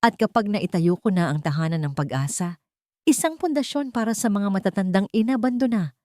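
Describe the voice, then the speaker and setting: A woman narrator acts out an elderly grandmother in an audio drama. She is firm and commanding, wronged yet merciful. Each phrase comes deliberately, in a close, dry sound. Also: medium pitch, animated, warm tone, no room sound, natural voice. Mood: stern, solemn.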